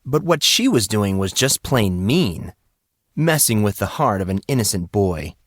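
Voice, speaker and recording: A man's voice: fairly low pitch, big pitch swings, unhurried, no echo, clean studio sound. A man narrating fiction in a scripted, dramatic audiobook style.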